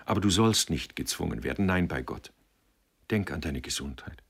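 A man playing a kindly old man who mediates with concern, in a measured, entreating, murmuring manner, in an audiobook. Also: a bass voice, animated, husky, heavily acted.

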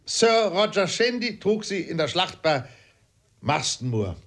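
Man acting out blustering middle-aged gentleman, irascible, indignant, husky, raised pitch, theatrical storybook reading. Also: sing-song, a little slow, exaggerated voice. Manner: exclaiming, sputtering, indignant. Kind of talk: theatrical audiobook character voice.

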